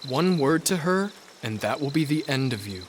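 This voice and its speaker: An actor playing a spoiled, snobbish young lord in an audio drama, speaking with cutting, disdainful sarcasm. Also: male voice, medium pitch, animated, normal speed, a little hiss or hum, lightly acted. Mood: sneering.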